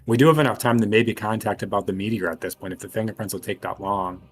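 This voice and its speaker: Male tabletop player, slightly deep voice, loose conversational delivery. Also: fast talker, a little hiss or hum. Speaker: player in a tabletop role-playing game. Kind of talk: casual chat.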